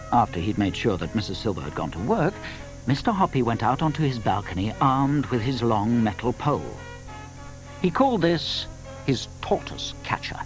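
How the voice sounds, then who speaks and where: A man narrating a children's story from a script, with deliberate emphasis. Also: mid-range voice, rough recording.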